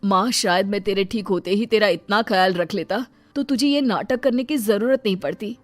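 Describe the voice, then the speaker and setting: Man acting an earnest, quick-talking devoted son. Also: high-pitched, dry recording, soft background music, close to an everyday voice. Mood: relieved, sincere. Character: devoted, emotional grown son of an aging mother. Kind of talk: Hindi cartoon moral story acting.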